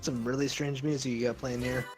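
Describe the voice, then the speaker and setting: A young man co-hosting a casual gaming stream, laid-back and hesitant with lots of fillers, his voice sounding deep through a home desktop mic. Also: little pitch movement, brisk. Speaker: stream co-host. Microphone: desktop microphone. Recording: background music.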